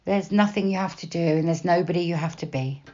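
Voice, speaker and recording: A woman guiding a meditation in a slow, soft, unhurried manner with long pauses between phrases. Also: on the low side, dull audio. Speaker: meditation guide. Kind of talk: guided body scan meditation, gentle instruction.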